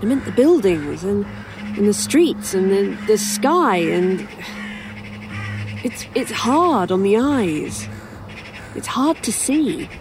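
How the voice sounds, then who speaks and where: A woman's voice: medium pitch, big pitch swings, takes it slowly, pauses often, music in the background. An actress playing a bewildered but dryly polite woman in an audio drama, hesitant and puzzled. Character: bewildered, polite, dry-witted woman in a strange place.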